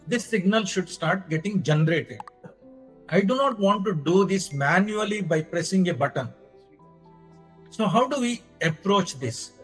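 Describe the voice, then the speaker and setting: An Indian-accented man delivers a technical lecture in a fairly high voice. He speaks slowly and deliberately, laying out each step in short phrases. Faint music sits in the recording. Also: a bit bright, slightly rough, poor audio. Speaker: lecturer presenting own engineering research.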